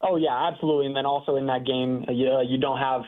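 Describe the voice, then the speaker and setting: Quick-talking man, muffled lo-fi remote guest. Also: mid-range voice, level tone. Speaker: sports writer and podcast co-host, guest. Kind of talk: casual interview answers. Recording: muffled remote radio call-in sound.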